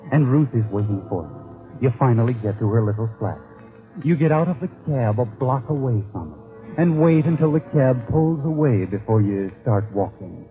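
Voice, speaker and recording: A man's voice: mid-range voice, lightly acted. A man acting as a sardonic, menacing narrator in a 1940s radio suspense drama, addressing his listener mockingly and dramatically. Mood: grim. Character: sardonic, menacing male narrator taunting a criminal. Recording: tinny 1940s radio recording, music behind. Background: dramatic music.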